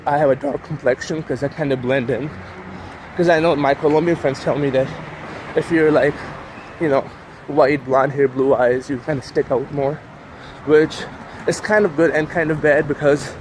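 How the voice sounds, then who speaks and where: A man's voice: on the high side, darkish tone, rough recording. A young man whose first language is Urdu, talking casually in a rambling way with lots of fillers while walking and filming himself on a city street. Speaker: vlogger. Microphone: handheld camera while walking. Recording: street traffic noise behind.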